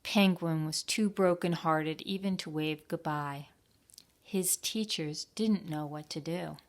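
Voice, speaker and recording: Female storytime reader, slightly low register, very leisurely pace, expressive, puts questions to young listeners. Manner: expressive, pauses to ask the children questions. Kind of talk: reading a picture book aloud to young children.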